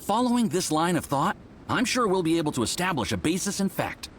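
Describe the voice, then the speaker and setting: A man voicing an earnest, bookish young research assistant in an anime game, animated and scholarly. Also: high-pitched, brisk, a little hiss or hum. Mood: eager, earnest.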